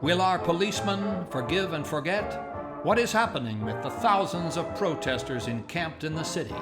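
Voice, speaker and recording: Dramatic male radio announcer over loud brass. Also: on the high side, expressive, normal speed. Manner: dramatic, rhetorical. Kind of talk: old-time radio serial announcing, scripted. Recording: loud brass music behind the voice. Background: brass music.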